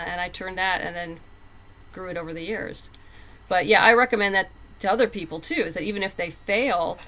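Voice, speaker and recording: Bright-voiced, lower-pitched woman in conversation. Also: rough recording. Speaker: guest comic book writer. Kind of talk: casual podcast interview conversation.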